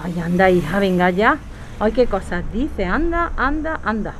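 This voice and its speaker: A woman's voice: mid-range voice, animated, moderate pace, slight background noise, natural voice. A woman playing an affectionate, lonely older mother in a naturalistic audio drama. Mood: coy, fond.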